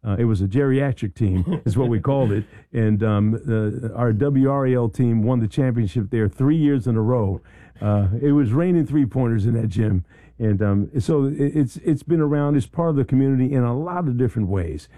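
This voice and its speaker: A middle-aged male radio host chats with his guests in a conversational way. His voice is very dark and mellow, recorded close and dry. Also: medium pitch, moderate pace, dry recording. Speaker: radio talk show host.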